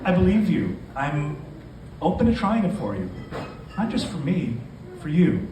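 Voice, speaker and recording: A reluctant boyfriend who wants to please read by a man speaks in a live story reading. The voice is off-mic and echoey, with clear noise. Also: on the high side, big pitch swings, a little slow, pitched above the performer's own voice. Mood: hesitant. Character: hesitant boyfriend, reluctant but willing to please. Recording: echoey, noisy venue, distant microphone.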